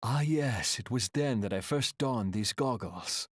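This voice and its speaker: An actor playing a proud, reflective exiled engineer and airship captain in a video game, speaking in an earnest, measured, solemn way. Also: male voice, medium pitch, animated, husky, no room sound, clean studio sound. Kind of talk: dramatic video game voice acting.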